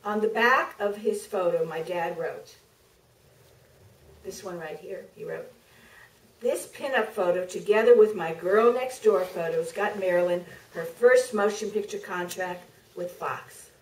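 A middle-aged woman author giving a measured, deliberate staged reading from a podium, with pauses for effect. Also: lowish voice, recorded from a distance. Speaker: author reading from her book. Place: theater stage, filmed from the audience. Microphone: podium microphone heard through distant camera. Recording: roomy theater, picked up from afar.

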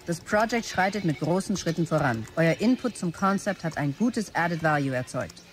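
A brisk, demanding woman executive. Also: low-pitched, lively intonation, faint music behind. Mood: stern. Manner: clipped, businesslike, commanding. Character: brisk, demanding chief operating officer dictating messages. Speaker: actor in an audio drama. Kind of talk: radio play dialogue, dictated office messages.

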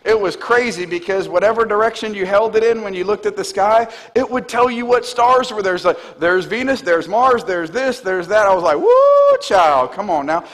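A man in his thirties, a pastor, preaching an animated sermon on a church stage. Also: high voice, normal speed, hardly any pauses. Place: large church stage, indoors. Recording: long hall reverb.

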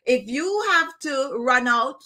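Jamaican woman, middle-aged, home-studio vlogger; higher, bright tone; casual, rambling delivery. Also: slow pace. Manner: chatty, digressive, laughs often. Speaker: skincare vlogger. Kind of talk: casual tutorial talk to camera. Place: indoor room with plants and screen.

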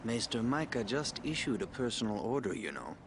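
Measured priest in a noisy game capture. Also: male voice, medium pitch, very animated. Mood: grave. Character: calm older male priest, guarded but kindly. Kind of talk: video game cutscene acting.

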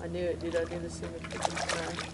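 A teenage boy filming a casual fishing vlog from a kayak on a lake, talking excitedly to his friend and calling him dude. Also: a little high, fast, very noisy. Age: teens. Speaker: fishing vlogger. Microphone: action camera mounted on the kayak.

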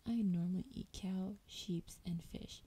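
Woman as a slow, whispering, possessive female dragon lover. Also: on the low side, warm tone, heavily acted. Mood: amused, reassuring. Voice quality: breathy, mostly whispered. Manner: slow, coaxing, affectionate. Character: lonely ancient female dragon, tender, possessive, teasing lover. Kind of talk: intimate whispered ASMR roleplay. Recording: clear background noise, ambience.

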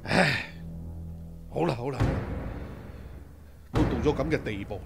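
An actor playing a proud, fearless hero who speaks sternly, in a radio drama. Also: male voice, medium pitch, lively intonation, measured pace, gravelly, over loud music. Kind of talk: 1990s radio drama acting.

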